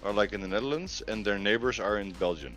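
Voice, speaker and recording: A man chatting casually and explaining things in a hesitant, halting way. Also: fairly low pitch, fairly bright tone, poor audio. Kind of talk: casual chat. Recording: music behind the voice. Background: music.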